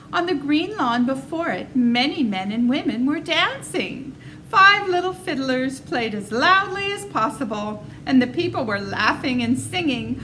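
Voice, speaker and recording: A woman's voice: a little high, a bit bright, a little hiss or hum, low-quality recording. A woman reading a children's storybook aloud as narrator. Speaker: storybook reader.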